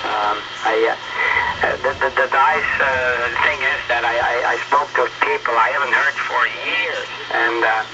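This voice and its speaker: An older man speaks casually over a radio transmission, haltingly and with repeated phrases. The sound is dull and lo-fi, buried in very loud noise. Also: medium pitch, fairly even intonation. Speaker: amateur radio operator. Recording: muffled, very noisy shortwave radio reception.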